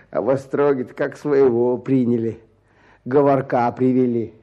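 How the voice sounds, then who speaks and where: An actor playing a weary, stubborn peasant petitioner back from prison, speaking plaintively in halting rustic phrases in a radio drama. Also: male voice, mid-range voice, fairly even intonation, lo-fi sound, close to an everyday voice. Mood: bitter, weary. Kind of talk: Russian radio drama acting.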